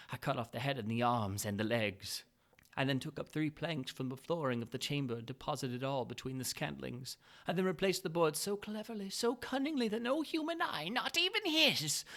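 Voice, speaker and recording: A man narrating a gothic tale as a dramatic reading, moving between hushed whispers and frantic, feverish shouting. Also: medium pitch, gravelly. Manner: feverish, building intensity.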